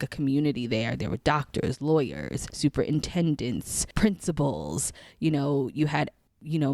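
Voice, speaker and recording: An American woman from Queens, New York, chatting casually as a podcast guest and telling stories in an animated way. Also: deep voice, no room sound, clean studio sound. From Queens, New York City, USA. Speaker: podcast guest, spiritual guide. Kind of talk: casual chat.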